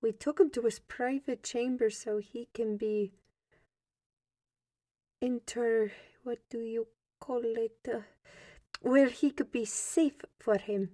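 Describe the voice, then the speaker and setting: A woman's voice: on the high side, steady tone, takes it slowly, mellow, no room sound, studio quality. A woman playing a polite, deferential servant in an audio drama, hesitant and respectful in manner. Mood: dutiful, respectful.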